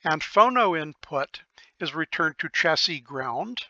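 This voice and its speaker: Slow-talking, bright-voiced man explaining technical details. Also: on the high side, animated, dry recording, lo-fi sound. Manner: methodical, thinking aloud with pauses. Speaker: electronics repair hobbyist presenter. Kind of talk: informal technical explanation of a circuit diagram.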